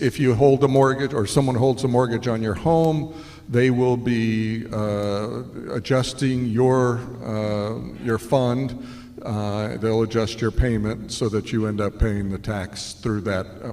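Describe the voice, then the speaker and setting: A man answering a resident's question at a city meeting, unhurried and thinking aloud as he goes. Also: fairly even intonation, a little mellow. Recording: echoey hall with faint rumble.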